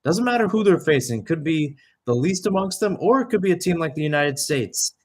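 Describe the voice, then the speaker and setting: A man's voice: fairly high pitch, expressive, a little fast, a little mellow. A young man giving live radio-style soccer play-by-play, talking conversationally and filling in background detail between calls. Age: young adult.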